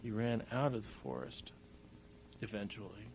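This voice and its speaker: A man with a gravelly voice telling an improvised bedtime story in halting, drawn-out phrases. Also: lowish voice, very animated, a little mellow. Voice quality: gravelly, creaky. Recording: noisy home recording with hum.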